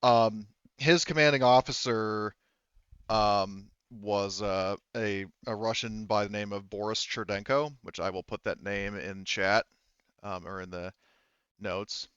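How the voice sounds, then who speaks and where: Man, game master, lowish smooth voice, halting casual explanations, slightly dull recording. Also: steady tone, fairly bright tone, dry recording. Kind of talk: casual tabletop roleplay game narration.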